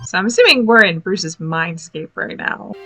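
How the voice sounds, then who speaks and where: Expressive woman reacting, bright lively voice. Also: on the low side, moderate pace, faint music behind. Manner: expressive, exclaiming. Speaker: reaction video host. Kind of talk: casual live reaction commentary on a cartoon. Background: cartoon soundtrack playing.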